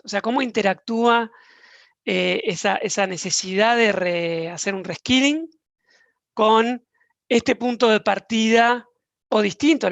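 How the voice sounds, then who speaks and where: Hesitant gravelly moderator, dry sound. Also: medium pitch, a bit bright, no echo. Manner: hesitant, searching for words, many fillers. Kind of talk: panel moderation.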